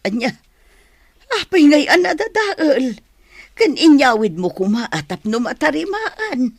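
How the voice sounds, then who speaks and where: A woman's voice: sits high, sing-song, takes it slowly, no room sound, full character voice. An actress playing a worried, caring older mother fussing over her son in a radio drama. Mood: surprised. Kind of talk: Ilocano radio drama acting.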